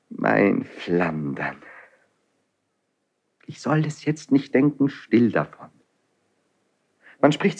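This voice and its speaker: Impetuous young prince, wildly varied delivery. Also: male voice, lowish voice, exaggerated voice. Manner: theatrical, declamatory, shifting between muted irony and outburst. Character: impetuous young crown prince, proud, bitter and agitated. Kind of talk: classical German stage drama acting.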